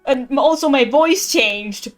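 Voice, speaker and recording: A woman's voice: high-pitched, takes it slowly. A woman streaming a game, giving casual, wry and playful joking commentary. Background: game music.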